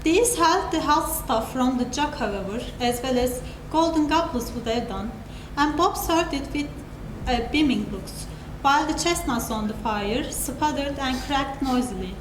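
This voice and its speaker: A woman's voice: high-pitched, expressive, measured pace. A woman narrator giving a dramatic scripted reading of a classic story at a live event. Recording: echoey room, noisy live recording.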